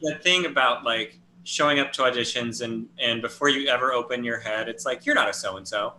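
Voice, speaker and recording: A man hosting an interview, chatty and lively, asking questions and joining in with laughter. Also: mid-range voice, brisk, slight background noise, low-quality recording. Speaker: interviewer, host. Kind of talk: casual interview talk.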